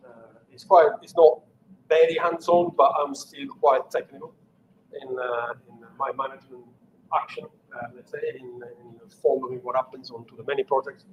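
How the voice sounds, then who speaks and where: An Italian-accented middle-aged man talks through an engineering presentation, stopping often to rephrase with fillers and restarts. His voice sits at an ordinary pitch. The recording is a little dull, with a faint hiss behind it. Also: fairly even intonation. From Italy. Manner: unscripted, halting with many fillers and restarts. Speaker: engineering manager giving a technical talk. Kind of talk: online technical presentation.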